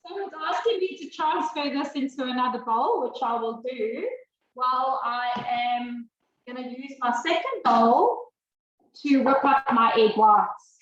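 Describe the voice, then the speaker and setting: A woman demonstrating a recipe on a video call, calmly reading out each step as she goes. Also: sits high, measured pace, roomy sound, muffled recording, a little hiss or hum. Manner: relaxed, follows steps aloud. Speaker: Thermomix consultant demonstrating.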